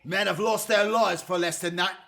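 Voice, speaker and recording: High-pitched, bullying Egyptian official. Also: male voice, steady tone, moderate pace, vocal fry, sharp tone, heavily acted. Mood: stern, threatening. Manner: imperious, threatening. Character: harsh, bullying Egyptian royal official sent by princess. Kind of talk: biblical radio drama acting.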